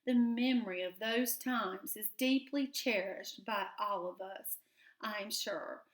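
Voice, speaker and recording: A woman's voice: medium pitch, slow pace, close to an everyday voice. A woman voicing a dignified male rabbit father who speaks in formal, stately words.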